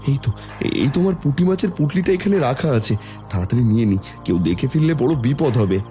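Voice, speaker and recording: A man's voice: medium pitch, lively intonation, a little fast, close to an everyday voice. A man voicing a poor, anxious, guilt-ridden village father in a cartoon, speaking emotively over music. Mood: furtive, remorseful. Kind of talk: Bengali cartoon fairy-tale dialogue. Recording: music under the voice.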